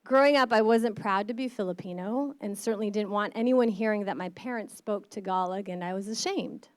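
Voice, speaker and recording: A woman's voice: medium pitch, fairly quick, no echo, clean studio sound. A middle-aged woman from the American Midwest, a state board of education member, giving an earnest, passionate conference speech. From Midwestern United States.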